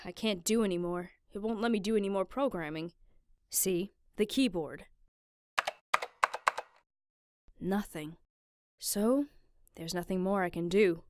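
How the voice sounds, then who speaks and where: A woman playing a calm, teasing young woman who explains things in a measured way, in a visual novel fan dub. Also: mid-range voice, lightly acted. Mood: resigned. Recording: music behind the voice.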